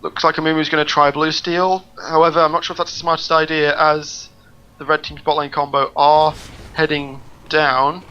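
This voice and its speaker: A man giving brisk play-by-play commentary on a video game match. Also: a little high, level tone, bright, forceful tone, clean tone, lo-fi sound. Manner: brisk, descriptive play calling.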